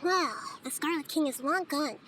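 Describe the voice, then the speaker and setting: A woman voicing a small, girlish goddess in a game cutscene, light and youthful, explaining things in a calm, thoughtful way. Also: sits high, moderate pace, slight background noise. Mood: calm scheming. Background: music.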